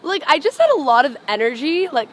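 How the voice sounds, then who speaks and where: A young woman talks casually outdoors, her voice pitched a little high and her sentences loose and full of "like" fillers. Faint street noise sits behind her. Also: very animated, brisk. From Los Angeles, US. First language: Farsi. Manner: chatty, full of "like" fillers, laughs often. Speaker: singer, interview guest. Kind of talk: casual interview chat. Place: outdoors on a sidewalk.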